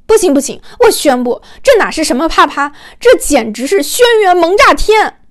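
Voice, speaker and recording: Woman acting excitable high-voiced gushing young fan. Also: moderate pace. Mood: excited gushing. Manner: exclamatory, teasing. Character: gushing livestream viewers, excitable young fans.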